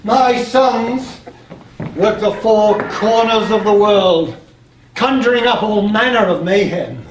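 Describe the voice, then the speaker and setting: An actor playing a weary, boastful aging sea god in a live stage play, declamatory and grumbling. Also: male voice, high-pitched, slow pace. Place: live in a playhouse, far from the mic. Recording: distant, echoey theatre stage, faint noise.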